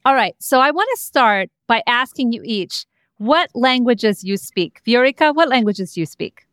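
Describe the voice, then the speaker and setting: A woman's voice: a little high, animated, normal speed, a bit bright, clean tone, dry recording, clean studio sound. A middle-aged woman hosting an interview podcast, reading a scripted introduction and then asking questions with enthusiasm. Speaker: podcast host.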